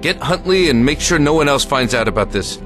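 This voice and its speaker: An actor playing a firm American lieutenant who keeps control and gives hard orders. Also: male voice, fairly high pitch, expressive, brisk, natural voice. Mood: grim. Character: grim male American lieutenant, in command. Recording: audio drama with music underneath.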